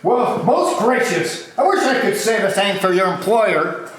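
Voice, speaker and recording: Portly, ingratiating gentleman collector acted by a man, florid and polite, strikingly high voice, very echoey room. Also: sing-song, measured pace, heavily acted. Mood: polite, conceding. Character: portly, genteel, ingratiating male charity collector. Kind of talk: amateur comic dramatic play reading. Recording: cavernous echoey room, faint background noise.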